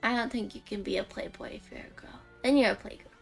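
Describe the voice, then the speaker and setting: A woman's voice: on the high side, fast talker, vocal fry. A woman streamer giving casual live game commentary in a musing, jokey way. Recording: streamed voice over faint game music.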